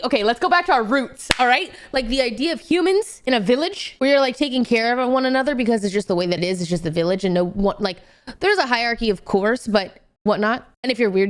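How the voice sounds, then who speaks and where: A woman in her late twenties rambling casually to livestream viewers in a chatty, slangy, emphatic way. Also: fairly high pitch.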